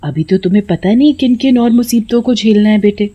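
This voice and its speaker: A woman's voice: mid-range voice, a little fast, slightly rough, lo-fi sound, natural voice. A woman voicing a protective witch ghost in a horror cartoon, reassuring yet ominous. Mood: soothing, ominous.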